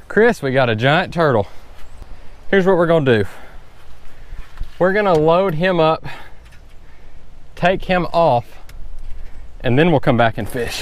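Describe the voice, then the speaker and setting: A young man, chatting casually as a fishing vlogger outdoors by a pond, recorded on a body-mounted action camera. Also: a little high. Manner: chatty and relaxed, talks to the turtle. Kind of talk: casual outdoor vlog chat.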